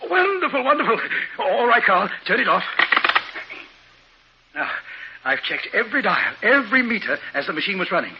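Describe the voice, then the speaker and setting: An actor playing an eager, proud older scientist in a theatrical, hesitant 1950s radio drama style. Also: male voice, high voice, sing-song, normal speed. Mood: excited, eager. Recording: muffled, noisy 1950s radio recording.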